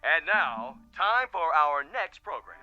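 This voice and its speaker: Manic TV horror emcee: high-pitched, drawn-out hammy delivery, music underneath, radio drama. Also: male voice, big pitch swings, muffled recording, noisy background. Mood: gleeful showmanship. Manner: theatrical, hammy announcing with stretched words. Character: manic TV show emcee turned spooky horror-program host. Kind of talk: old-time TV presenter parody in radio drama.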